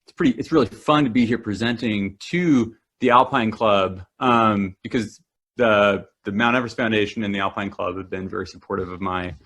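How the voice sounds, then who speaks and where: A man's voice: fairly low pitch, fairly even intonation, normal speed, fairly bright tone. A man from New Zealand presenting a climbing talk, enthusiastic and full of fillers and restarts. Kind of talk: presentation to a club audience.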